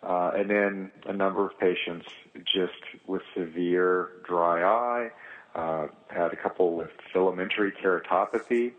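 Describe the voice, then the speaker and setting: Man, very low voice, halting phrasing, surgeon explaining clinical work, band-limited telephone sound. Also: steady tone, narrow, tinny audio. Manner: halting, hesitant phrasing with pauses. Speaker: eye surgeon, guest speaker on a conference call. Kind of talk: explaining clinical experience on a conference call. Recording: thin band-limited telephone conference line.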